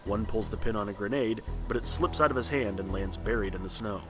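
A man's voice: fairly low pitch, fairly quick. A man giving scripted narration as a podcast host and storyteller. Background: faint music.

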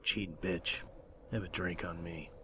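A man's voice: deep voice, fairly even intonation, a little slow, heavy background noise. A man playing an irritable, hard-drinking helicopter pilot in an audio drama. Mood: bitter. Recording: distant voice under loud music.

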